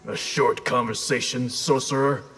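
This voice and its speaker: A man's voice: medium pitch, fairly even intonation, normal speed, fairly bright tone, lightly acted. A man playing a grim, protective ninja clan leader in a video game, stern and confident. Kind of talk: video game fighter acting. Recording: game dialogue with music behind.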